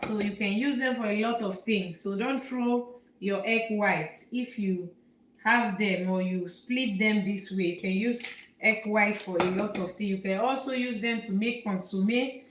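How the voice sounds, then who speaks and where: A woman from Nigeria hosting a live cooking demonstration as a chef, explaining each step slowly and repeating herself with fillers. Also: medium pitch. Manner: slow, repetitive explaining with fillers. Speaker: cooking show host, chef. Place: home kitchen. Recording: echoey kitchen, faint noise.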